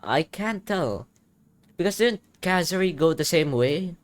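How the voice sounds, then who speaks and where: A man's voice: a little high, animated, measured pace, no echo. A man doing casual reaction commentary as he plays through a game's story. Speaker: gamer reacting to a story quest. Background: soft game music.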